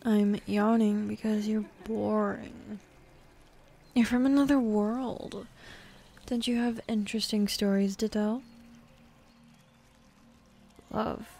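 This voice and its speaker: A woman playing a bossy, jaded female demon in an ASMR roleplay, speaking softly and often half-whispering, with dismissive sighs. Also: medium pitch, gravelly, slight background noise. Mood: bored, teasing.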